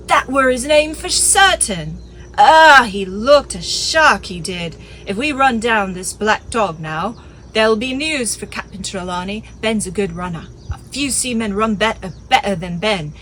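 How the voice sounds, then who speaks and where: A woman reading an audiobook, voicing a crafty, blustering one-legged male pirate cook with loud sea-dog talk. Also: medium pitch, a little hiss or hum.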